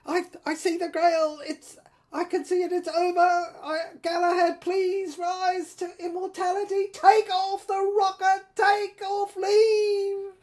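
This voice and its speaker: A woman's voice: very high-pitched, little pitch movement, slow, darkish tone. A woman playing a tormented visionary in an audio drama, wailing and pleading desperately with repeated words. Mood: anguished, pleading. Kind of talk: melodramatic amateur audio drama acting.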